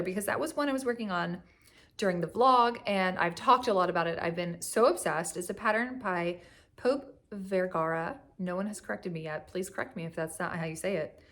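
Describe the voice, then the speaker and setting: A young woman, slightly hoarse from a cough, chatting casually at home about her knitting, rambling with lots of fillers and little laughs. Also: mid-range voice, fairly bright tone. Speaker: knitting vlogger. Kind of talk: casual chat. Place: at home on a couch.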